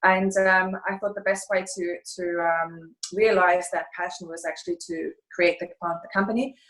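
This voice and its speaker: A woman's voice: lowish voice, fairly even intonation, normal speed, a bit bright. A middle-aged woman from Germany with a German accent, answering interview questions in a thoughtful, hesitant way, on a video call from home. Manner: thoughtful, searching for words, frequent hesitations. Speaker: guest, consultancy founder. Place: home room by a fireplace. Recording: echoey room at home.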